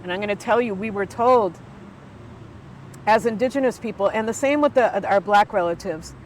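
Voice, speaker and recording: Woman, 60s, elder, heartfelt storytelling, mid-pitched voice, faint outdoor noise behind. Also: moderate pace, low-quality recording. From United States. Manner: earnest, heartfelt, with frequent fillers and restarts. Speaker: Ojibwe elder and guest. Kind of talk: conversational storytelling.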